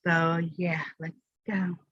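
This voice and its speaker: A man hosting a podcast in casual chat, speaking haltingly and repeating words. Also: a little high, flat delivery, lo-fi sound, noisy background. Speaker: podcast host.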